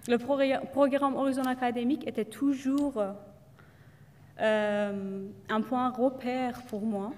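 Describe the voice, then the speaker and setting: A woman's voice: a little high, darkish tone. Hesitant woman speaking in a hall. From Iran. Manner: hesitant, many fillers and restarts. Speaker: student speaker. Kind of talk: testimonial talk to an audience.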